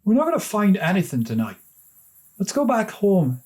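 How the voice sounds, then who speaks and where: A man's voice: high voice, wildly swooping pitch, moderate pace, darkish tone, voice pushed up. A man voicing a timid young boy, an easily frightened little brother in a storybook tale. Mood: weary, pleading.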